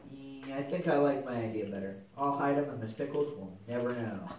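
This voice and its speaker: A man's voice: mid-range voice, fairly quick, a little mellow, natural voice. A man voicing a mischievous, stubborn schoolboy puppet in a children's church show, with a casual, scheming, exaggerated delivery. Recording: very echoey, distant mic, noisy.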